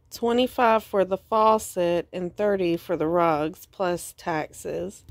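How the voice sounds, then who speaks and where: Woman vlogger, unhurried, chatty show-and-tell on a phone camera. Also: lowish voice, a little mellow. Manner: chatty, explains items plainly. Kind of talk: casual show-and-tell vlog.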